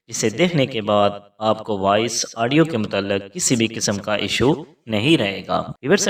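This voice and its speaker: A man's voice: on the low side, a bit bright, far from the mic. A man narrating a scripted tutorial as host, giving formal step-by-step instructions.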